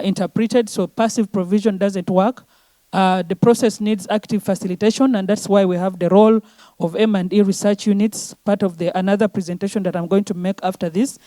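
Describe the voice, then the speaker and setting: Woman presenter, earnest and steady, warm mellow tone, dry close sound. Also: medium pitch, dry recording. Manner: earnest, steady delivery with frequent restarts. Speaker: conference presenter. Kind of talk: conference presentation.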